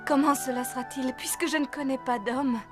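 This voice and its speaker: A woman voicing a humble, devout young mother-to-be, earnest and reverent, in an animated Bible drama. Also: high-pitched, brisk, over music, close to an everyday voice. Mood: wondering.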